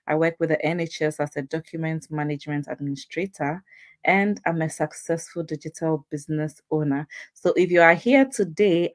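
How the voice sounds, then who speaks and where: Woman with a low, dry voice. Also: no room sound. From Ghana. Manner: chatty, laughs easily, repeats phrases. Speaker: host. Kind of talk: casual live chat.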